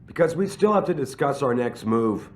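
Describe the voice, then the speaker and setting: An actor playing a stern, guarded corporate boss in a video game, speaking firmly and persuasively. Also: male voice, mid-range voice, expressive, moderate pace, slight background noise, lightly acted. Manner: firm, defensive, persuasive. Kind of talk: dramatic video game dialogue.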